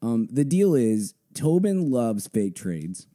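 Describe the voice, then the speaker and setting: A young man hosting a casual sports podcast, hoarse from a blown-out voice, rambling with many fillers. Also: medium pitch, big pitch swings, takes it slowly, dark tone. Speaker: podcast host.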